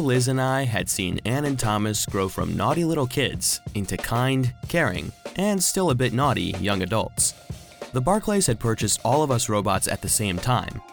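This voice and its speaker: A man playing an earnest, anxious robot vacuum cleaner who narrates a children's audio drama in an expressive storytelling manner. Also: medium pitch, gravelly. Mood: wistful, worried.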